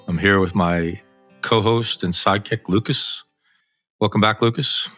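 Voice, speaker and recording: This is a man hosting a podcast with a low, forceful voice. His tone is bright and cuts through clearly. The recording is close and dry, with very little room sound. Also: steady tone, unhurried, dry recording, faint music behind. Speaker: podcast host.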